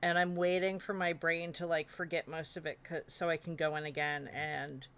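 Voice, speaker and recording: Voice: mid-range voice, little pitch movement, moderate pace, pushed and bright. A streamer talking casually and informally on a panel, with some mild swearing. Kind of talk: casual chat. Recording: some background noise.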